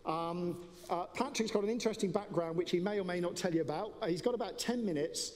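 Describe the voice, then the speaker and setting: A man's voice: high-pitched, fast, a little mellow. A man hosting an event, giving an informal spoken introduction to the next speaker. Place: event hall at a conference campus. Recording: echoey hall, long reverb.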